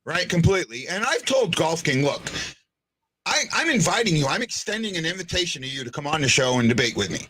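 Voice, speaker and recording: A man's voice: on the high side, sing-song, fast talker, bright, forceful tone, slight background noise. A man hosting a sports podcast in casual chat, often hesitating, restarting sentences and checking in with "right?". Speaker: podcast host.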